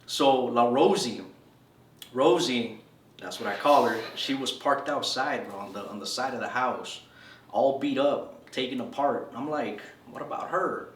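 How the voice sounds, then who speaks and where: A man's voice: medium pitch, a little slow, a little hiss or hum. Laid-back man telling stories, echoey and distant. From El Salvador. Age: 30s. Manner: laid-back slangy storytelling, says "bro" a lot. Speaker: interviewee. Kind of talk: casual storytelling interview.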